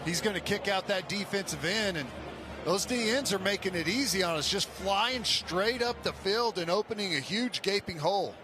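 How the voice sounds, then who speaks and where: A man's voice: high voice, normal speed. An American man giving conversational, explanatory color commentary on a live football radio broadcast. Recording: noisy stadium radio broadcast.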